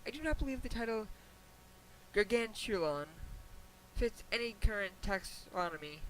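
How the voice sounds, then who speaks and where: A man reading as a high-voiced, regal pony princess. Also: lively intonation, fairly bright tone, poor audio. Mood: wry, composed. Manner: formal, measured, wry understatement. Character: regal, patient pony princess, female, gently admonishing her student. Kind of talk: dramatic reading of a fan fiction letter. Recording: hissy amateur home recording.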